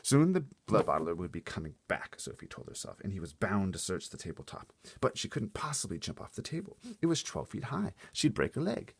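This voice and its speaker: A man's voice: deep voice, very animated, a little fast, vocal fry, husky. A man reading a children's story aloud expressively, with dramatic delivery and brief playful asides. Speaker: story reader.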